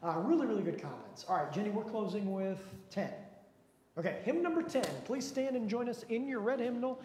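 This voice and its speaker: A man's voice: high-pitched, expressive, brisk, a little mellow. Brief: male pastor, casual and self-mocking, very echoey church hall. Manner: folksy, self-deprecating, chatty. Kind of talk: leading a church discussion. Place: church sanctuary. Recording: echoey hall, faint noise.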